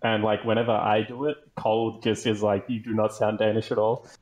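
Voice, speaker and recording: A man's voice: lowish voice, little pitch movement, brisk, darkish tone. A man talking casually as a guest on an esports panel show. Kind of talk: casual chat.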